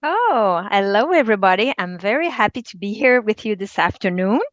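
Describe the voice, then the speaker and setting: A woman in her fifties with a Quebec French accent, a teacher presenting a webinar and often pausing to search for her words. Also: mid-range voice, animated, no echo, dull audio. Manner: hesitant, searching for words, many ums.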